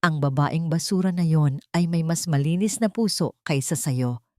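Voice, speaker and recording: A woman's voice: sits low, moderate pace, warm tone, dry recording, clean studio sound, natural voice. A woman narrator as an intense, anguished billionaire man. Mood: cold, commanding. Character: anguished, angry billionaire man, commanding and remorseful.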